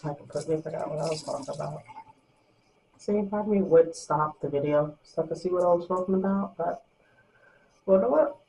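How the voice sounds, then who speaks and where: A young woman vlogger in a small room at home, talking softly and rambling to the camera at night, sometimes losing her train of thought. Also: a little fast, creaky, pauses often, slight background noise, low-quality recording. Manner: soft and low-voiced at night. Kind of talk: casual late-night chat to camera.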